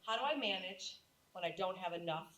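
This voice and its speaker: A woman moderating a live panel, lively and drawing in the audience with questions. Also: on the low side, fairly quick. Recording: live audience audible in the room.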